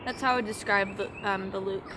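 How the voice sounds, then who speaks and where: A woman's voice: medium pitch, steady tone, quick pace, noisy background. A young woman student giving casual interview answers, using slangy speech peppered with "like". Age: young adult.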